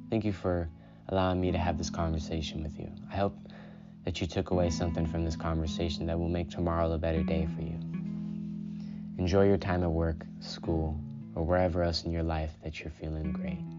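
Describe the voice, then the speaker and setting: A man with a very low voice hosts a solo self-care podcast and talks in a calm, unhurried way, often saying "you know". The recording sounds dull and lo-fi. Clear guitar music plays behind him. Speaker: podcast host.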